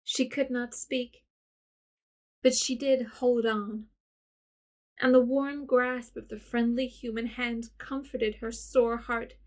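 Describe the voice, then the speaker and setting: A woman narrating an audio drama in a measured storybook reading. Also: a little high, a little mellow. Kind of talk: scripted narration.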